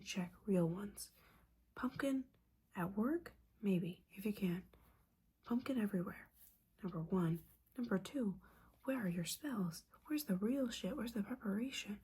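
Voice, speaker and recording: A woman's voice: mid-range voice, dark tone, breathy edge. A woman playing a disappointed, sarcastic witch mentor in an ASMR roleplay, whispering breathily in a casual, sweary, scolding manner. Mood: bossy, sarcastic.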